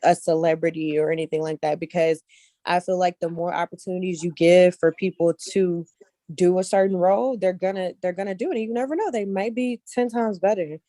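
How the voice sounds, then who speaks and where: A woman's voice: on the low side, fairly even intonation, fairly quick, mellow, dry recording, studio quality. A woman speaking casually as an interview guest, repeating points for emphasis and often checking that the listener follows. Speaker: interview guest, casting director and actress.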